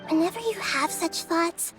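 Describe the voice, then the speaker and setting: A woman voicing a gentle, wise, childlike young goddess in a video game, speaking in a soft, earnest, measured way. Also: extremely high pitch. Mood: earnest, consoling. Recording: background music under the voice.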